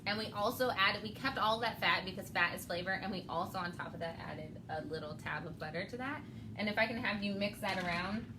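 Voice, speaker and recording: A woman's voice: mid-range voice, fairly quick, noisy background. A woman hosting a casual cooking show, explaining each step and laughing often.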